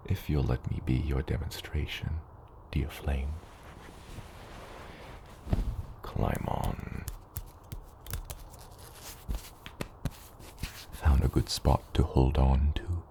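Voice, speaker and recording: A man playing a gentle giant father dragon patiently teaching his hatchling in a hushed, reassuring ASMR roleplay, his voice breathy and gravelly. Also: little pitch movement, a little slow, full character voice. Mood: gentle, encouraging. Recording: loud low rumbling noise.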